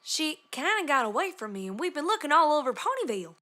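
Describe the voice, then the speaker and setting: A woman's voice: high-pitched, sing-song, fast, pushed and bright, full character voice. A woman voicing an earnest country farm mare with a folksy rural Southern American drawl and polite, flustered manners.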